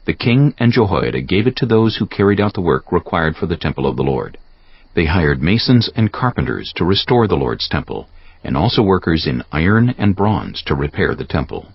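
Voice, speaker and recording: A man with a gravelly voice giving measured, solemn narration for a dramatized audio Bible. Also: low-pitched, dry recording. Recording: dull audio with soft music behind. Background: soft background music.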